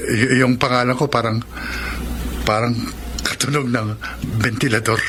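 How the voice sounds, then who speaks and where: A man acts a regretful young Filipino man in a radio love drama. His mid-pitched voice is earnest and hesitant, prone to stammering, over clearly audible background noise. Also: steady tone, a little slow, lightly acted. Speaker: actor and narrator in a radio romance drama.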